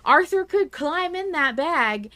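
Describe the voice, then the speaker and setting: A woman's voice: high voice, expressive, slow pace, bright, forceful tone. Lady podcast host arguing her take, casual and blunt, really emphatic, bit sweary.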